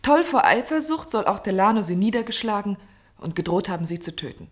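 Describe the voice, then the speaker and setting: A woman's voice: medium pitch, sing-song, fairly quick, close to an everyday voice. An actress playing an earnest, insistent woman pleading for justice in a German radio play, with urgent, emphatic and articulate delivery. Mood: earnest, impassioned. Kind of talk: German radio drama acting.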